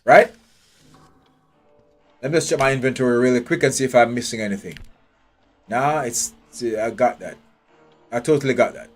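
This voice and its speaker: Male streamer, mid-pitched, swears freely, questions everything, faint game music underneath. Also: moderate pace, long pauses. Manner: blunt, profane, thinking aloud. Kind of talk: live gameplay commentary. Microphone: headphones with a desk mic.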